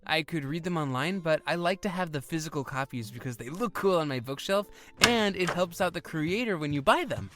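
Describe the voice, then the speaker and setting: A woman's voice: low-pitched, animated, fairly quick, few pauses, a bit bright. A woman acting the part of an eager, defiant fifteen-year-old boy in an audio drama.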